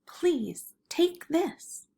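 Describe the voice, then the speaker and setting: A woman's voice: very high voice, lively intonation, drawn-out words, vocal fry, warm tone, pitched above the performer's own voice, heavily acted. A woman voicing a generous, welcoming uncle who lives simply, in a storybook. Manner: welcoming, kindly.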